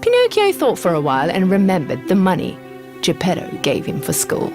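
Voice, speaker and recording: A woman narrates a scripted bedtime fairy tale for children. Her medium-pitched voice swoops with great expression. Music plays clearly beneath the storytelling. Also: huge pitch swings, a little fast.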